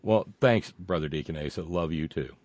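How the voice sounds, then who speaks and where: A man hosting a show, reading viewer comments and delivering sarcastic political commentary that swings from loud rants to muttered asides, with frequent fillers and profanity. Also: a bass voice, moderate pace, dry recording. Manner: mocking, builds into loud rants then drops to muttering; profane, many fillers and restarts.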